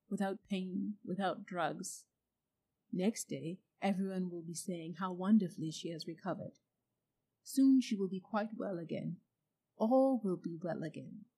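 Storybook witch, woman doing the voice, proud, cruel, coaxing, loud, dark, husky. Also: medium pitch, unhurried, poor audio. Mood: tempting.

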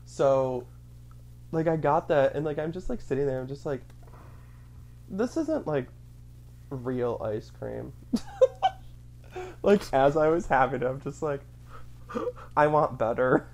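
Rambling mid-pitched chatty storyteller. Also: animated, takes it slowly, long pauses, a little mellow. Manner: rambling, filler-heavy, acts out own thoughts in quotes. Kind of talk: casual chat, storytelling.

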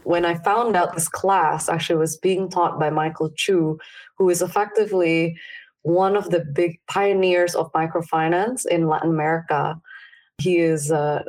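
A young woman from Indonesia talks about her work as a podcast guest. She speaks in a low voice and explains at length in an earnest way. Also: fairly even intonation, normal speed, darkish tone. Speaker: startup founder guest. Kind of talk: casual interview answers.

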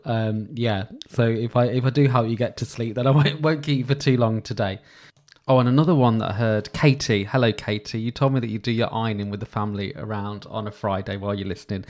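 A man hosting his own podcast, talking alone in a calm, gentle and sincere way, with pauses and small restarts. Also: on the low side. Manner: soft-spoken with frequent pauses and restarts. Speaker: podcast host. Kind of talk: solo reflective podcast talk.

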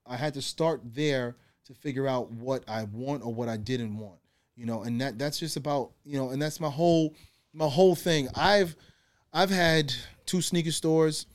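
A man, a podcast guest talking in a loose, slangy, animated way. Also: medium pitch, moderate pace, dry recording. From Guyana. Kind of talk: casual conversation.

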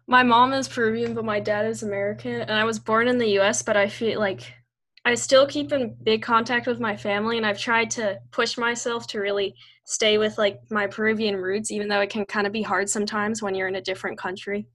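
A teenage girl from Peru as a guest in a casual podcast chat, speaking relaxed with fillers. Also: mid-range voice, fairly quick. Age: teens.

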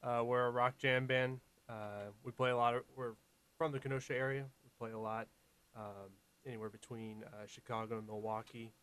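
Band member on radio, American man, mid-pitched voice, casual, hesitant answers. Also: little pitch movement, moderate pace, fairly bright tone, clean tone. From Washington, D.C., United States. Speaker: band musician, radio guest. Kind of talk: casual interview chat.

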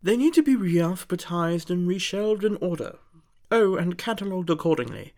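A woman voicing a prim, fussy, gentle male angel bookseller with polite, old-fashioned manners, in a storybook reading. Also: lowish voice, animated, normal speed. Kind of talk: audiobook character voice.

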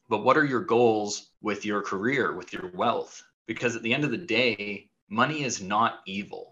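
A man's voice: fairly low pitch, pushed and bright, muffled recording. A man hosting a podcast as a coach, talking bluntly and casually, swearing freely in a motivational monologue.

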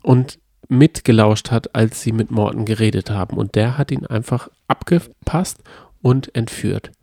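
A man's voice: lowish voice, a little slow, gravelly, dark tone, no room sound, studio quality. A man co-hosting a podcast in casual chat, eagerly retelling a plot and often restarting his sentences. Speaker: podcast co-host.

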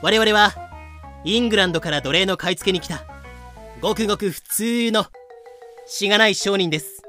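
Man playing a bright-voiced, glib pirate captain. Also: sits high, normal speed, long pauses. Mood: glib, polite. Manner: polite, glib. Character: smooth-talking pirate captain posing as humble merchant, male. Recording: music bed behind voice.

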